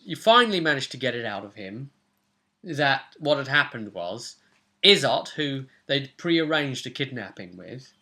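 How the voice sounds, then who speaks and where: Bright-voiced man recapping a game. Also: medium pitch, very animated, measured pace. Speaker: game master of a tabletop role-playing campaign. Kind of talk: casual recap of a role-play session. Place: small domestic room indoors.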